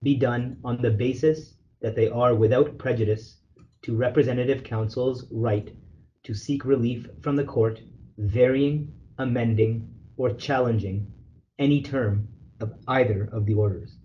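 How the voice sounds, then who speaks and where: A man lawyer reading a formal legal letter aloud on a conference call, in a measured, formal way. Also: medium pitch. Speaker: lawyer, representative counsel leading a call. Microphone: telephone conference call. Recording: dull conference phone call with noise.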